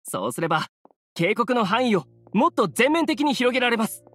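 An earnest young townsman speaks politely and formally in an anime game. His pitch moves a lot, and music plays underneath. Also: sing-song, normal speed, a bit bright, exaggerated voice. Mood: concerned. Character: earnest, courteous young townsman organising an event.

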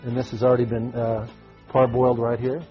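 A middle-aged man chef talks his way through a recipe on a TV studio set, clipped to a lapel mic. He speaks at an average pitch in a relaxed, folksy way, filling gaps with "uh" as he cooks. The broadcast audio is a little dull, with faint music behind. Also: brisk. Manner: folksy, talks while cooking with frequent fillers. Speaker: restaurant chef. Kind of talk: live TV cooking demo.

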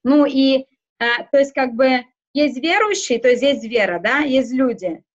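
A woman whose first language is not Russian, speaking haltingly in a casual interview, with many fillers and restarts. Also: high-pitched, expressive, highs cut off. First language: not Russian; schooled outside Russian. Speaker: interview guest.

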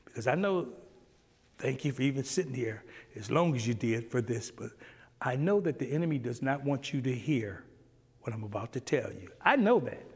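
A middle-aged man teaching a Sunday school lesson in a church sanctuary, preaching with animation and acting out exchanges with God, swinging between loud and hushed. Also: medium pitch, slightly rough, in a large hall. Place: church sanctuary, standing before a pulpit.

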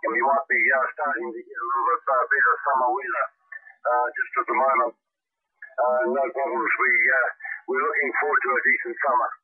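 A man's voice: medium pitch, little pitch movement, a little fast, husky, dry recording. A man chatting casually as a radio operator, hesitant and polite, heard over a shortwave radio. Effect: shortwave radio transmission. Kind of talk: casual radio contact chat. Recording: muffled, band-limited shortwave radio signal.

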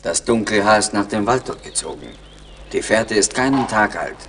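A man acting a solemn, calm young Mohican tracker in a German radio play, speaking in a measured, stately way. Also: lowish voice, husky, full character voice.

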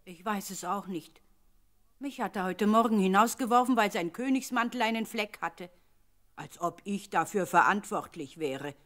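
Actress as practical palace servant woman, earnest, indignant, mid-pitched, radio drama. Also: normal speed, lightly acted. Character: indignant but practical female royal servant. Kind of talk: radio play acting.

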